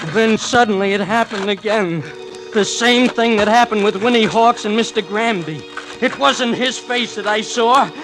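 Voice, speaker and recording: A troubled young man as a male actor plays him in a vintage radio thriller, his voice on the high side with music behind it. He is polite and earnest, with a hesitant delivery that hides a killer. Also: normal speed, lightly acted, rough recording. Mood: agitated, breathless urgency. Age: young adult. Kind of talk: 1940s-50s radio drama acting and first-person narration. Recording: old radio recording with music. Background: dramatic music.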